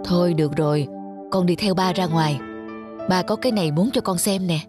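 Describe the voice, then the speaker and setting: A woman's voice: on the low side, brisk, lightly acted. A woman voicing a patient but firm young father in a children's cartoon, speaking in an earnest, explanatory manner. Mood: patient, instructive. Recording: music behind the voice. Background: background music.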